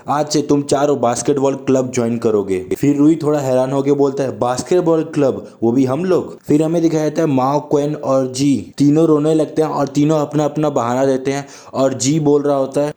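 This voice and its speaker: Mellow-voiced man with a sore throat. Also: mid-range voice. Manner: chatty, reads character lines in plain voice. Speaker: anime explainer narrator. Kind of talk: casual recap narration.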